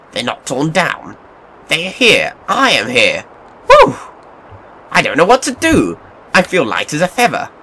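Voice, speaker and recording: A man's voice: medium pitch, sharp tone, heavily acted. An actor playing an old miser in an audio drama, fervent and theatrical as he repents and rediscovers joy. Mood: dazed joy. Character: old miser, anguished and repentant, then giddily joyful. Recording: noisy recording with long echoing reverb.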